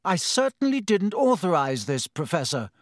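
A man's voice: fairly high pitch, wildly swooping pitch, slow pace, pushed and bright, no room sound, clean studio sound, pitched above the performer's own voice, exaggerated voice. A man voicing a pompous, bossy older teenage boy, an officious and dismissive school prefect in a storybook. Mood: indignant.

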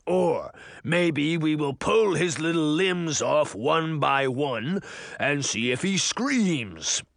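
A gnarled, man-eating giant from a storybook, huge and angry. He bellows with slow, heavy menace in a widely swooping voice, recorded dry and close. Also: fairly high pitch, animated, vocal fry, fairly bright tone, dry recording, heavily acted. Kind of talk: audiobook character voice.

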